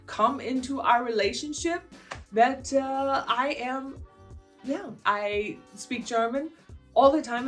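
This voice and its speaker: A woman in her fifties from the United States, chatting casually through a Q&A with her viewers, rambling a little and filling pauses with "um" and "uh". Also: fairly high pitch. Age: 50s. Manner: chatty and meandering, with frequent fillers. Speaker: vlogger answering viewer questions. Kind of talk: casual Q&A monologue.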